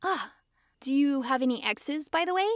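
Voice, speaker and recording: Woman voicing a high-pitched, possessive fox girl. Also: lively intonation, normal speed, dry recording, clean studio sound. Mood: giddy, possessive. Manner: sweetly controlling, giggly, swings between gushing and hushed coaxing. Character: possessive, lovestruck young-sounding fox-girl mage, dominant yet giddy. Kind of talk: intimate ASMR roleplay addressed to listener.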